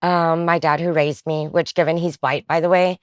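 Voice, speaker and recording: Young woman, low-pitched and chatty. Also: moderate pace, clean tone, dry recording. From Philippines. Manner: relaxed, chatty, laughs often, many fillers. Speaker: guest. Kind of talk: casual interview chat.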